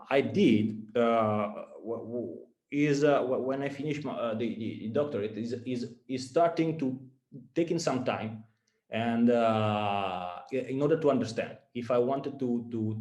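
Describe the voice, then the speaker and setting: A man from Italy with an Italian accent, a startup founder giving a talk to students, speaking haltingly with many fillers and repeated words. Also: lowish voice, darkish tone, roomy sound.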